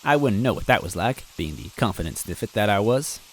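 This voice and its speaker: A man narrating a story in first person in a wry, measured way. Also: fairly low pitch, big pitch swings, slight background noise. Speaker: story reader.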